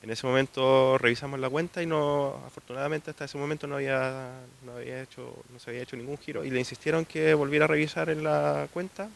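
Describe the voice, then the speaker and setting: A man's voice: medium pitch, fairly even intonation, fast talker, vocal fry, hardly any pauses. A man in his thirties with a Chilean accent giving a spontaneous account in an outdoor interview. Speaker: fraud victim interviewee. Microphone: handheld interview mic.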